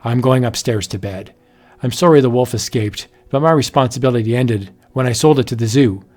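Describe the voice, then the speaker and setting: A man's voice: medium pitch, fairly quick, darkish tone, soft background music, lightly acted. A man voicing a rugged, skeptical hero who turns brave in a read-aloud horror story. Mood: dismissive.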